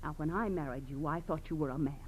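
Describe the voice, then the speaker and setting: A woman's voice: low-pitched, fast talker, slightly rough. A woman playing a scheming, domineering gangster's wife in an American accent, coaxing and needling in an old radio drama style. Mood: goading. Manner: commanding, needling, manipulative. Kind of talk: 1940s-50s American radio drama acting. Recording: old noisy radio recording.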